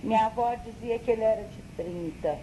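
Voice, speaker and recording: A woman's voice: a little high, fairly quick, mellow, a little hiss or hum, poor audio. A middle-aged woman recalling her family history in an interview, explaining things carefully and hedging as she reasons aloud. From Brazil. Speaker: interviewee, daughter.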